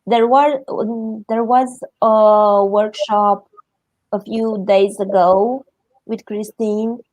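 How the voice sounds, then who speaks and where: A middle-aged woman from Romania with a Romanian accent, answering interview questions haltingly as she searches for words in English. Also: medium pitch, fairly even intonation, darkish tone, dry recording, rough recording. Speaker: interview guest.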